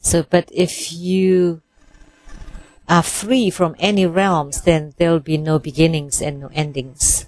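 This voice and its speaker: A woman interpreting a Buddhist sermon phrase by phrase in a measured way. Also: low-pitched, steady tone, darkish tone, slight background noise.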